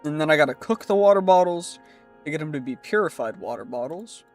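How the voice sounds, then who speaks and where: A man's voice: on the high side, lively intonation, fairly quick, faint music behind. A young man commentating his own gameplay while live streaming. He sounds congested from a cold and talks in an easygoing way, thinking aloud and reassuring himself.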